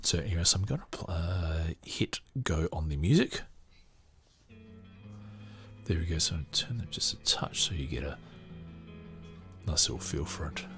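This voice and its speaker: A man hosting a podcast, chatting with many hesitations, then reading a book aloud in a steady, measured way. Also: deep voice. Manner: hesitant, filler-heavy chat between measured reading. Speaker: podcast host. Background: faint guitar music.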